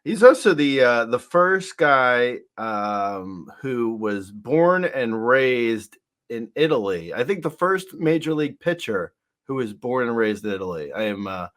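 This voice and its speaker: A man hosting a sports podcast, chatting casually about baseball in a halting, wryly joking way with repeated words. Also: medium pitch, big pitch swings, a bit bright.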